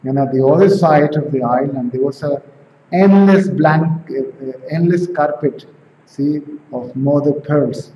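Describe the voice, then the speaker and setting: A man's voice: a little high, unhurried, warm tone. A man in his forties from Venezuela, with a Venezuelan Spanish accent, giving an informal spiritual talk at home, often asking "right?" and "see?". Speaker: spiritual teacher. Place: home room with tiled walls, indoors. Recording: roomy, dull camera sound, faint hiss.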